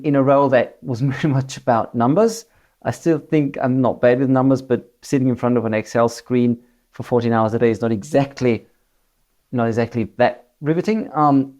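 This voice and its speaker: A man's voice: medium pitch, warm tone. German-born man with an Australian twang. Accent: German with Australian English. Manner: hesitant, many fillers and restarts. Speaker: managing director, interview guest. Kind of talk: business interview.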